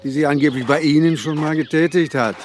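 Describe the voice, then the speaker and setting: A man's voice: a little high, a little fast, darkish tone, a little hiss or hum, natural voice. Avuncular police chief, played by a man, lightish voice. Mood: matter-of-fact. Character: avuncular male police chief rallying his team. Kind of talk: radio crime drama acting.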